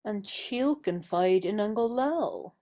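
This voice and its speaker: A woman performs a young lady being courted in a stage play for audio. The voice is mellow and slow with lively rises and falls, composed and kindly, with a teasing, reproving edge. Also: medium pitch. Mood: wry, guarded. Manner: measured, gently reproving.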